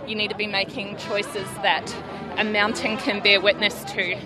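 A woman's voice: mid-range voice, little pitch movement, moderate pace. A woman with a New Zealand accent explaining her views earnestly and a little hesitantly as an interviewed protester. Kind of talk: unscripted explanation in street interview. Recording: street recording with music and crowd. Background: music and crowd.

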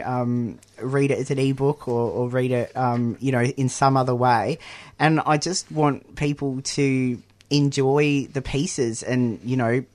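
A man's voice: medium pitch, a little mellow. Chatty man, book editor guest. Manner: chatty, with many fillers and laughs. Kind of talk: radio interview.

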